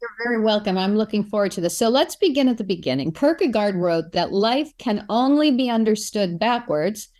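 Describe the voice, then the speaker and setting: A woman's voice: medium pitch, animated, a little fast, few pauses, darkish tone. A woman hosting an interview, welcoming guests and asking questions in a gracious, formal way. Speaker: interview host. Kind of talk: moderating an interview.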